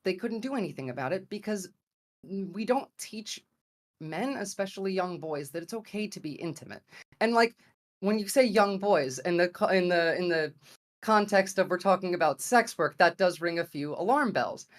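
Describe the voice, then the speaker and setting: A woman with an American accent and a somewhat low voice speaks as a debate guest. She argues in a conversational style, pausing to search for the right word as she builds her point. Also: expressive. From grew up in America. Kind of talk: argumentative debate opening statement.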